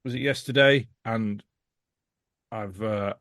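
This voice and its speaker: A man's voice: on the low side, slow pace, fairly bright tone, no room sound. A British man chatting casually as a podcast guest, sweary and comically appalled. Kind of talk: casual chat.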